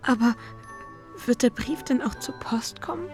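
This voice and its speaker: A shy little girl in a radio play, with a high voice and very slow delivery. She is timid and soft-spoken, hesitant and homesick. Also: creaky, in a whisper, music in the background.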